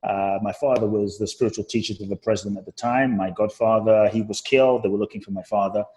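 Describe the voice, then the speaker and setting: A man's voice: fairly low pitch, fairly even intonation, fast talker, darkish tone, rough recording. Earnest man telling his story. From Ghana, raised in London. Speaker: guest, author and coach. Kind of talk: talk show interview.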